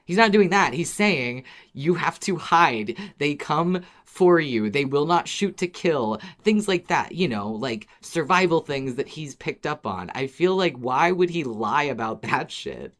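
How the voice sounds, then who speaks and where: A woman with an exceptionally deep voice chats as a podcast co-host. She is expressive and informal, doubling up words when she wants to stress a point. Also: a little slow, few pauses. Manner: expressive, given to repeating words for emphasis. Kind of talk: casual chat about an audio drama.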